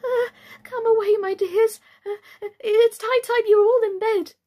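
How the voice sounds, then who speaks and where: A woman's voice: very high-pitched, steady tone, measured pace, darkish tone, voice pushed up. A woman voicing an anxious, motherly storybook canary in a trembling voice. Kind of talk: children's storybook character reading.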